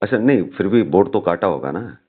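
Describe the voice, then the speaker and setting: A man's voice: low voice, expressive, fairly quick. A man chatting casually about politics over the phone, putting probing questions to the other speaker. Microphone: phone call. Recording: muffled, narrowband phone call recording.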